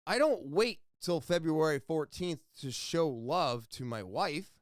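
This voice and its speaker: A man speaks slowly into a close mic on his own podcast, earnest and rambling, with blunt, profane asides. His voice is smooth and resonant, a little high for a man and lively in pitch. The sound is dry, with no room around it. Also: no room sound. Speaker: podcast host. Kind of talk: casual solo podcast monologue.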